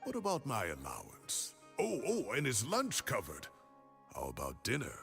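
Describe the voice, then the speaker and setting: A man playing a cheeky, wheedling older scholar in a video game, gravelly and theatrical, protesting and haggling. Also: mid-range voice, wildly swooping pitch, moderate pace, a bit bright, full character voice. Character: cheeky, wheedling older male scholar, self-styled hero. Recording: music behind.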